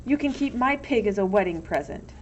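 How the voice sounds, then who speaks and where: A woman's voice: medium pitch, lively intonation, fairly quick, close to an everyday voice. Woman as an earnest, shy young suitor. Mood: dejected. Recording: dull, lo-fi with some background noise.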